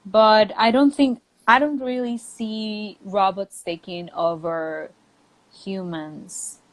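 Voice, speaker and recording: A woman's voice: mid-range voice, fairly even intonation, slow pace, low-quality recording. A native Spanish-speaking woman with a Mexican accent, an English teacher chatting casually and thoughtfully as a guest on a live stream. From Mexico, near the US border.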